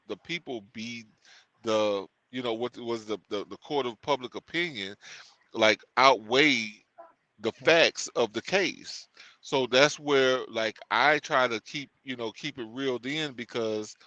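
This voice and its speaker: A man's voice: medium pitch, bright, forceful tone, no room sound, low-quality recording. A man co-hosting a casual discussion, rambling with many restarts and fillers.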